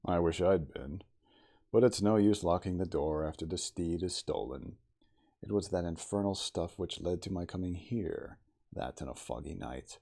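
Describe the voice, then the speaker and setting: A man voicing a gruff, evasive, hard-drinking storybook character who speaks in a brusque, deliberate, offhand way. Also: low voice, dark tone. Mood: gruff, rueful.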